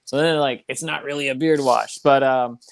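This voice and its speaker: A man's voice: mid-range voice, fairly even intonation, normal speed, creaky, a little hiss or hum. A man who runs a small business talks earnestly and at length in a casual chat over a video call. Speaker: small business owner, guest.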